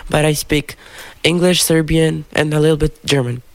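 Gravelly Macedonian-speaking interviewee. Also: female voice, sits low, fairly even intonation, normal speed, no echo. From North Macedonia. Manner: hesitant, searching for words. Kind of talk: casual interview answers.

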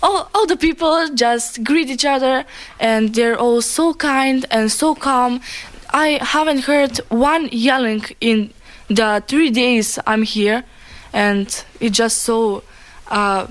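A woman's voice: on the high side, slow pace. A young woman whose first language is Macedonian, answering interview questions in English in a chatty, enthusiastic way.

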